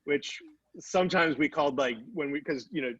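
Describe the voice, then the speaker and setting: A man chatting casually on a panel, halting and searching for his words as he recalls things. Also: a little high, a bit bright, dry recording, dull audio. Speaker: TV writer and producer on a panel.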